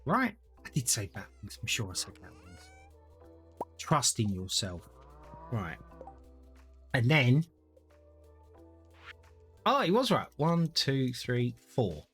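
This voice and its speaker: A man's voice: mid-range voice, big pitch swings, slow pace, long pauses, a bit bright, soft background music. Chatty man streaming a game. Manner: rambling, thinks aloud, chats to viewers. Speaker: gaming streamer. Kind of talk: casual live-stream gameplay commentary.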